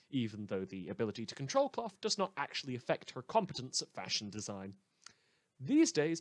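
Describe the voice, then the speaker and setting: A man's voice: medium pitch, no echo, close to an everyday voice. A man playing a snide, opinionated news radio host, delivering scripted satirical reports in an articulate, mock-earnest manner. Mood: wry reporting.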